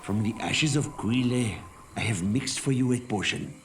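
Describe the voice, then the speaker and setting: An actor playing an eccentric, excitable male alchemist in a fantasy game, speaking in a theatrical way. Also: medium pitch, moderate pace, noisy background, close to an everyday voice. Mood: excited. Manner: theatrical, animated. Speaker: game character. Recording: loud game music underneath. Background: loud background music.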